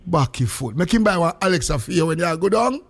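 A man's voice: a little high, very animated, quick pace, breathy edge. A Jamaican man hosting a live call-in radio show, chatting in a Jamaican accent with sing-song, exuberant greetings. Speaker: gospel radio show host. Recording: faint music behind the voice. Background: music.

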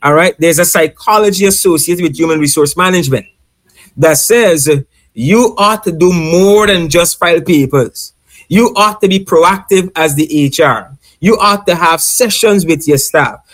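A man speaks emphatically in a casual panel discussion, repeating key points and checking in with listeners. His voice sits a bit higher than most men's. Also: moderate pace, fairly bright tone.

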